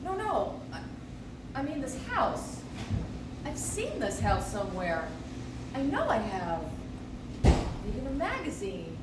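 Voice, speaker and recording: Woman acting a high, lively, earnest mother, echoey. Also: takes it slowly, pauses often, exaggerated voice. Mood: puzzled, concerned. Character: caring, earnest mother of a young girl. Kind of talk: live stage radio play acting. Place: coffee house stage, recorded from the audience. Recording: distant, echoey, very noisy audience recording.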